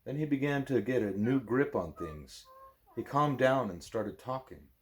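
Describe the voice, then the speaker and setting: Male audiobook reader, expressive storytelling, animated intonation, medium pitch. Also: a little slow, slight background noise.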